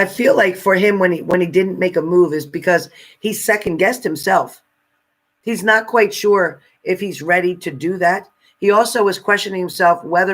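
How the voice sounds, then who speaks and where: A man's voice: sits high, a bit bright, rough recording. A man hosting a casual live question-and-answer stream, giving spirit readings and chatting with viewers.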